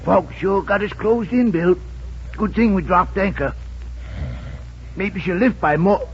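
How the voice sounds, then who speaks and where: A high-voiced, cantankerous little old sailor. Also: male voice, measured pace, husky. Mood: nagging, grumbling. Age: older. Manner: folksy, scolding speech. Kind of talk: 1940s radio acting. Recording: muffled, noisy old radio recording.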